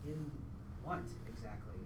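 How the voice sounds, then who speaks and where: A woman's voice: a bass voice, measured pace, background noise. A woman playing a skeptical, pouting young child in a live radio play. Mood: skeptical, sulky. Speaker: stage actor. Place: live theatre performance in a venue. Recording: echoey distant live stage recording with music.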